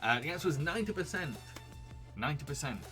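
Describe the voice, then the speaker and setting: A man's voice: a little high, very animated, fast talker, pushed and bright, faint music behind. A British man with an accent that mixes Yorkshire and a posh Received Pronunciation sound, hosting a live stream quiz in a chatty, giggly way and answering his chat. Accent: Yorkshire mixed with posh Received Pronunciation.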